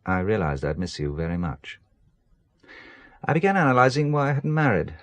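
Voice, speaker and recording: A man's voice: on the low side, big pitch swings, normal speed, dry recording. An actor playing a wry, secretive middle-aged businessman in a radio drama, dryly evasive and making light of things. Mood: tender, earnest.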